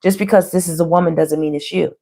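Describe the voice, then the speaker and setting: Low-pitched, hoarse woman reading tarot. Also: steady tone, quick pace, dark tone. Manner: colloquial, frequently checking in with "you know" and "okay". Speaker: tarot reader hosting a live online reading. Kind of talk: casual, conversational tarot reading to viewers.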